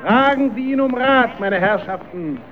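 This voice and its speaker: A pompous, high-voiced fairground barker. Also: male voice, lively intonation, a little slow. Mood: pompous, enticing. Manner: declamatory, pompous. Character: grandiose, wheedling male fairground barker for a magician. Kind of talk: old radio play, fairground patter. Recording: tinny old radio recording with crowd noise.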